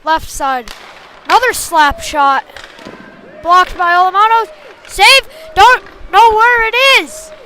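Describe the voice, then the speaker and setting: Female hockey play-by-play commentator, high pitch, slowish clipped calls, live venue noise. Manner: short clipped phrases, excited on big plays.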